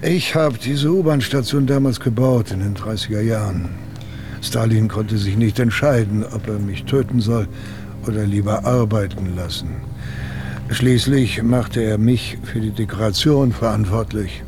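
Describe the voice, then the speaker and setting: An actor playing an older, nostalgic Soviet official in a radio drama, speaking with matter-of-fact irony. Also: male voice, unhurried, music in the background. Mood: wry, reminiscing. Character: wry, nostalgic high-ranking Soviet official, older man. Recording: clear rumbling underground station noise. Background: subway station ambience.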